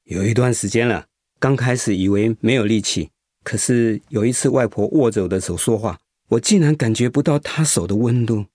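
A man's voice: mid-range voice, moderate pace, darkish tone, no echo, clean studio sound, close to an everyday voice. A man playing a despairing but stubborn young cancer patient in a radio drama. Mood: pained, weary.

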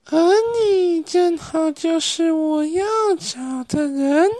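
A teenage girl playing a young, centuries-dead ghost princess in a radio drama, pleading in a drawn-out, eerie, tearful way. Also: very high-pitched, warm tone, no echo, studio quality. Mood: eerie, mournful.

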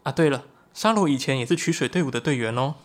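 An actor playing an earnest, excitable young shapeshifting tribesman in a Chinese audio drama, explaining things expressively. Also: medium pitch, fast, lightly acted.

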